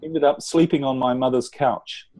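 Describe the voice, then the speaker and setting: Mellow-voiced New Zealand man, earnest. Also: mid-range voice, fairly even intonation. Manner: earnest, measured, with restarts. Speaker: relationship coach. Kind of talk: interview guest telling his story.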